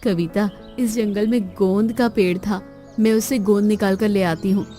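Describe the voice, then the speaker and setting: A woman's voice: mid-range voice, fairly even intonation, moderate pace, mellow, soft background music, close to an everyday voice. A woman voicing a resourceful, caring young daughter-in-law in a cartoon story. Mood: brisk, practical.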